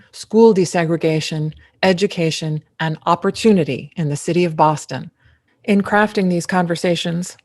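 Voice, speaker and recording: A woman's voice: fairly low pitch, no echo, clean studio sound. A woman reading a scripted podcast introduction as its announcer.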